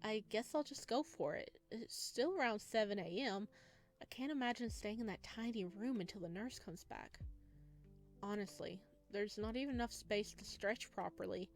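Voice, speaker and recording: A woman's voice: mid-range voice, moderate pace, lightly acted. A woman voicing a friendly, restless young man, a patient in a visual novel, in a casual, chatty way. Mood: restless, reasoning. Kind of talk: visual novel character reading. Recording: music behind the voice.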